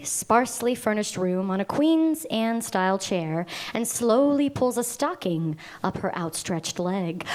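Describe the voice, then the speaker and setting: Slow, measured woman reading stage directions. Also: fairly high pitch. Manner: measured, deliberate reading of scene descriptions. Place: live venue stage.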